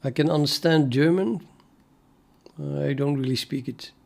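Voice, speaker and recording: A man's voice: on the high side, measured pace, creaky, darkish tone. Dutch man thinking aloud. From Netherlands. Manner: thinking aloud, many fillers and restarts. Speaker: programmer streaming his coding. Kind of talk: casual live-stream chat.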